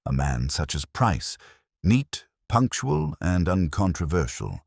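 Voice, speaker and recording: A man narrating a radio mystery in a measured, dryly ironic storytelling manner. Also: low voice, very animated, gravelly, dry recording, clean studio sound.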